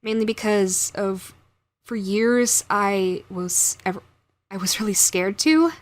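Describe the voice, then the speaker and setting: A woman's voice: medium pitch, slow pace. A woman who hosts an audio roleplay channel, talking casually and directly to her viewers.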